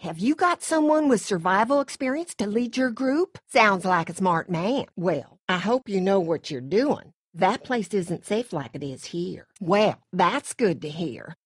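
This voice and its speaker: A woman's voice: mid-range voice, animated, unhurried, hardly any pauses, husky, no room sound, studio quality. A woman playing a middle-aged Southern farm mother from a video game, with folksy, effusive hospitality and a controlling streak. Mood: soothing, folksy. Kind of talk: video game character acting.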